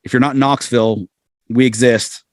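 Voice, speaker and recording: A man's voice: mid-range voice, steady tone, moderate pace, dry recording, clean studio sound. A middle-aged American man talking casually as a musician being interviewed, rambling along in a self-deprecating way. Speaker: musician guest, band frontman.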